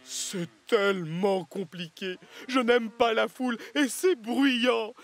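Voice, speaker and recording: A man's voice: extremely high pitch, slow pace, full character voice. A man voicing a timid, anxious, apologetic little tram engine in a cartoon, hesitant and flustered. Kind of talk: French cartoon dub acting.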